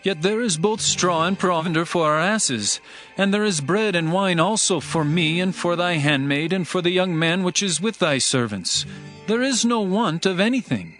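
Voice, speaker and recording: A man's voice: high voice, expressive, moderate pace, fairly bright tone, faint music behind. A man playing a firm, courteous wayfaring master in a dramatized scripture reading, speaking formal, declarative archaic English. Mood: courteous explaining. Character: firm, courteous travelling master seeking lodging.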